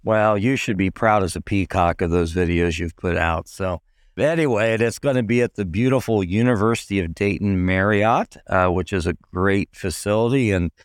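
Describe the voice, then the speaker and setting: A middle-aged man with a hoarse, raspy voice, hosting a casual podcast chat with many fillers. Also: low voice, moderate pace, no room sound, clean studio sound. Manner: friendly, rambling with many fillers. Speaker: podcast host.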